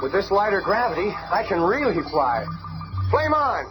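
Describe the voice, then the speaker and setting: A cocky young hero with a high, lively voice. He is eager and exclamatory in 1970s radio style, with music behind. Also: moderate pace, poor audio. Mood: excited. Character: excitable, cocky young male superhero. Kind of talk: 1970s radio superhero drama acting.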